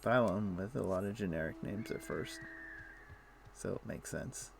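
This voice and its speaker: Gravelly low-voiced man streaming a game. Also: background noise. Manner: relaxed, meandering explaining with pauses. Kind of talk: casual gameplay chat.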